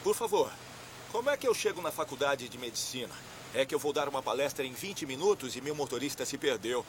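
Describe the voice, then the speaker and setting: A man's voice: medium pitch, expressive, fairly quick, slight background noise, close to an everyday voice. A man dubbing a goofy naive young man. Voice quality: hesitant, stammering with fillers. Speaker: dub actor. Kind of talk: Brazilian Portuguese film dub.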